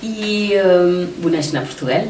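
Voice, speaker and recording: A woman's voice: lowish voice, unhurried, darkish tone. A woman hosting a casual, conversational interview and putting questions to a guest. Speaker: interviewer, host. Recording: roomy, distant mic, faint background noise.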